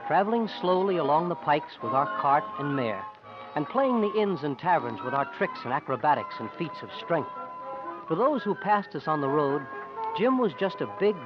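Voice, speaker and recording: A teenage tumbler in a golden-age radio play, telling his tale plainly in a fairly high voice. The sound is dull and dated, with clear music behind it. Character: sixteen-year-old acrobat boy, looking back as narrator. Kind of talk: 1950s radio drama narration and acting. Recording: dull old radio recording.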